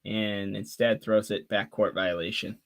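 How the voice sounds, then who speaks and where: A man of twenty giving fan play-by-play on a live stream, calling the action quickly and chatting with viewers between plays. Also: lowish voice, fairly even intonation, a bit bright. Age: 20s. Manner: rapid call of the action mixed with chatty asides to viewers.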